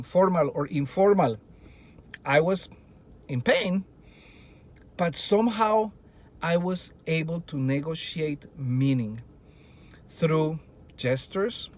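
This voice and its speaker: A middle-aged man with a Colombian Spanish accent, a native Spanish speaker and a Spanish teacher, talking earnestly to fellow teachers and asking a lot of rhetorical questions. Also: a little high. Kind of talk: presentation to teachers.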